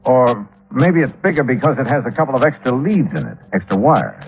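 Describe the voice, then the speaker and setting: A shrewd, cool insurance investigator presses his questions in brisk, pointed bursts. His pitch swings widely from low to high. The sound is an old radio broadcast recording. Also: expressive, gravelly, rough recording. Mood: deducing. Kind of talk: 1950s radio drama acting.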